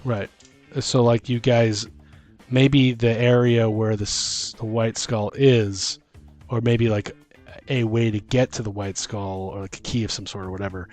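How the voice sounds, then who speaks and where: An excitable man hosts a casual tabletop gaming chat. He thinks out loud in halting bursts, working through ideas as a game master would, with music playing behind him. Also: lowish voice. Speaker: host and dungeon master on a tabletop gaming show.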